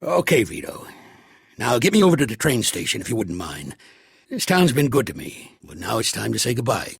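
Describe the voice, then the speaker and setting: An actor playing an older American mafia boss in a video game, world-weary, shrewd and gruffly fatherly, talking blunt street slang in a gravelly voice. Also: medium pitch, big pitch swings, a little fast, dry recording, studio quality, full character voice. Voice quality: gravelly, raspy.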